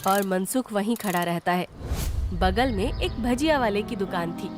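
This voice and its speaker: A woman's voice: mid-range voice, unhurried, over music. A woman reading scripted narration for a children's story.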